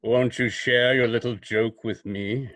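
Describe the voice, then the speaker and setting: An actor playing a sly, menacing invisible crime fighter in a radio drama, speaking slowly and formally with a mocking edge. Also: male voice, mid-range voice, fairly even intonation, fairly bright tone, no room sound, lightly acted. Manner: slow, deliberate, mocking, formal. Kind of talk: old-time radio drama acting.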